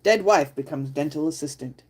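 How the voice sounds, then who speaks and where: Lady streamer chatting casually, kinda low voice, laughs a lot. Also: moderate pace, a little mellow, breathy edge. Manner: playful, laughs often, reads chat aloud. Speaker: livestream host. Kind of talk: casual livestream chat.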